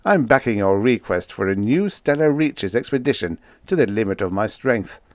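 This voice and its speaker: A man's voice: lowish voice, expressive, normal speed, no echo, natural voice. A man voicing a genial, avuncular elder president in an audiobook, with an emphatic, hearty manner. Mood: earnest, upbeat. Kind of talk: audiobook character acting.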